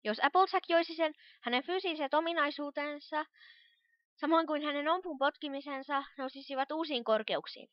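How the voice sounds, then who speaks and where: Woman voicing a high-pitched studious young pony magician. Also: dry recording, natural voice. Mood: explanatory. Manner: precise, explanatory, lecturing. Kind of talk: animated cartoon fan dub acting. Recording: dull lo-fi home recording.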